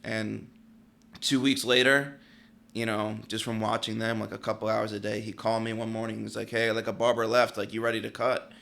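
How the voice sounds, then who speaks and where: Brief: gravelly young barber from Pennsylvania, low and flat, easygoing storyteller. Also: male voice, a little fast. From Pennsylvania, United States. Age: late 20s. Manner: laid-back storyteller, leans on "like" and "you know". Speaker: barber and podcast guest. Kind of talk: casual interview chat.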